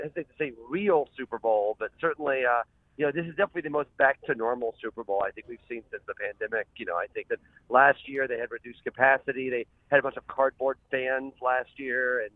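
A man's voice: medium pitch, dry recording. A man speaking as a columnist guest on a radio phone interview, chatty, rambling and emphatic. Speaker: sports columnist, show guest. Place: calling from a car. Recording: tinny, narrow phone line.

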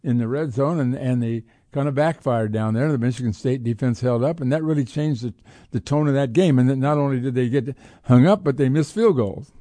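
A man's voice: mid-range voice, fairly quick, dark tone, no echo. A man with an American accent co-hosting sports talk, giving off-the-cuff, opinionated casual commentary. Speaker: sports talk show co-host.

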